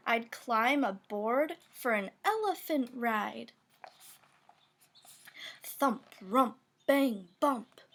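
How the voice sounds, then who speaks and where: A high-voiced woman reads a children's picture book in rhyme. She takes it slowly, with big swoops in pitch and playful, expressive emphasis on the sound words. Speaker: storybook reader. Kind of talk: children's picture book read-aloud, rhyming verse.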